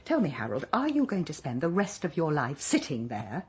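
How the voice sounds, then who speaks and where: A woman playing a tart, fussy, breathy wife. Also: deep voice, huge pitch swings, heavily acted. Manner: brisk, tart, deflecting. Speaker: radio drama actor.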